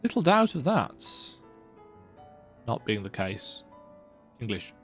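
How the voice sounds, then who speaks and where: A man's voice: takes it slowly, faint music behind. A man casually reacting to a game as he plays, musing aloud in a chatty way. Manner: chatty, musing aloud, wildly animated pitch. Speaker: streamer playing a game.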